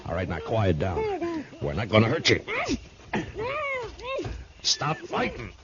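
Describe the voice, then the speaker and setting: An actor playing a stern frontier marshal who also narrates, in a 1950s radio drama, speaking in a measured, grave way. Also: male voice, lowish voice, wildly swooping pitch, full character voice. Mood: firm. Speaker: narrator and lead. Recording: dull vintage radio recording.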